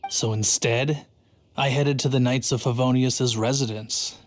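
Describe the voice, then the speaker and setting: A man's voice: medium pitch, steady tone, measured pace, lightly acted. A man voicing an earnest, boastful but timid city guard in a video game, telling things with drama. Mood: earnest, puzzled. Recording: faint music behind the voice.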